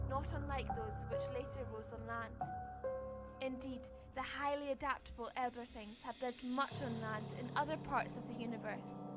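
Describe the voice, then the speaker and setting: An actress playing a grave, awed woman professor and explorer in a formal, deliberate 1930s-style radio horror drama. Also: sits high, close to an everyday voice. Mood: grave, ominous. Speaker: actor in an audio drama.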